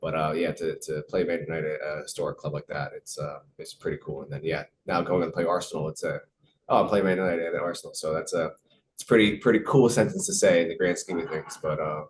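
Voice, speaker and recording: A man's voice: a bass voice, steady tone, fairly quick, noisy background. A young American man, a professional soccer player, answering interview questions casually, with many fillers and repeated words. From United States.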